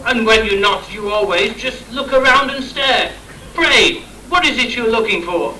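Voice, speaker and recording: A man's voice: very high voice, lively intonation, a little slow, sharp tone, slight background noise, full character voice. A man playing an exasperated, scolding father in an amateur rhyming pantomime, declaiming theatrically. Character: exasperated, scolding father of a bubble-obsessed boy. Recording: distant, echoey amateur stage recording.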